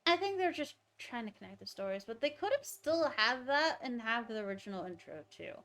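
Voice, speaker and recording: Higher-voiced woman musing on a game livestream. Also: expressive, fairly quick, bright, forceful tone. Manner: musing, thinking aloud. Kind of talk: casual live commentary on a game story.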